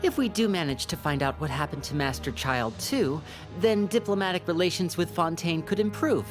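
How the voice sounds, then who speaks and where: A woman voicing a young male magician agent in a video game, polite, composed and calculating, with measured speech. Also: fairly low pitch, animated, natural voice. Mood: diplomatic, persuasive. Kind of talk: video game character acting. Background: game music.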